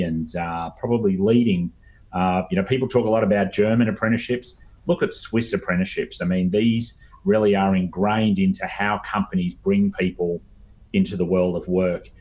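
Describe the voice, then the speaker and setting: A middle-aged Australian man giving explanatory interview answers as a guest expert, in a halting way with frequent ums. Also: on the low side, darkish tone.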